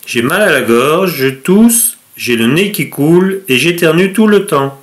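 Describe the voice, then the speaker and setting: A man's voice: a little high, lively intonation, a little slow. A man playing a tired patient with a cold. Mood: weary. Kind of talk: scripted dialogue for learners.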